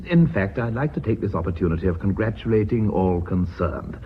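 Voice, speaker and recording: A man's voice: fairly low pitch, expressive, brisk, slightly rough. An actor playing a genial, courteous older British company chairman, speaking formally in a radio drama. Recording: slightly dull, faintly noisy radio recording.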